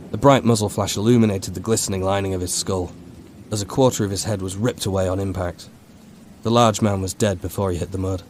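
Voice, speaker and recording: A man with a very low voice narrates a horror audiobook. His delivery is measured and dramatic, recorded with faint noise behind it. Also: darkish tone.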